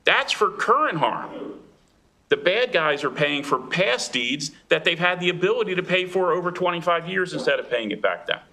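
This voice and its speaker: Bright-voiced man giving firm testimony. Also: mid-range voice. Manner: firm, argumentative. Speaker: industry witness. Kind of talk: legislative testimony.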